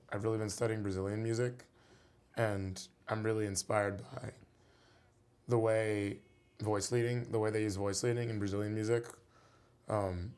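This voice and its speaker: Relaxed low-voiced man musician. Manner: relaxed, rambling with many fillers. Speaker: musician guest. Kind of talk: casual interview talk.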